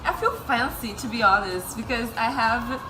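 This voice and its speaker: A woman's voice: fairly high pitch, normal speed, music in the background. A woman, a Portuguese speaker, chatting casually as she interviews friends. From Brazil. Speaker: interviewer, English-teaching vlogger. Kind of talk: casual chat. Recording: echoey room with clear background noise.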